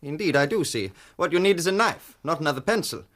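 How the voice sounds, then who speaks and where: A man's voice: a little high, animated, fast, fairly bright tone. A man in a radio drama playing a stern, haughty Indian student who speaks precisely and formally, with indignation. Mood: suspicious.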